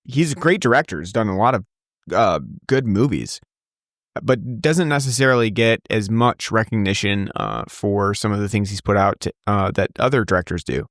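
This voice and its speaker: A man with a fairly low voice hosts a relaxed podcast, wandering through his thoughts with plenty of ums and false starts. The sound is close and dry, with no room around it. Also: normal speed, dry recording. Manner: rambling, many fillers and restarts. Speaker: podcast host. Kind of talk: casual podcast chat.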